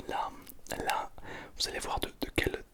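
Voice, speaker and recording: Gamer whispering casual game commentary, breathy, cocky in a joking way, repeats stuff. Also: a little slow, fairly bright tone. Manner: playful mock bragging, repeats phrases. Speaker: gaming video host.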